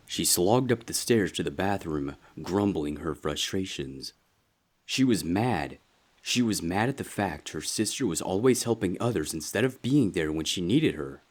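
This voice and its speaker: A man reading scripted fan fiction as a dramatic story narrator, with a husky voice. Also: low voice.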